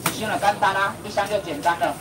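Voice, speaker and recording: A young man with a Taiwanese accent auctioning seafood, calling out prices in a rapid sing-song patter and bantering with the crowd at an outdoor market. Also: high-pitched. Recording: distant voice over loud market noise.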